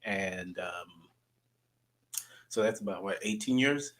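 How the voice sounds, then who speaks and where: A man with a Zimbabwean accent speaks calmly in a low, bright voice. He pauses on "uh" and "um" between short statements. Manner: calm, hesitant with "uh"s. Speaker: guest. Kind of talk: casual chat.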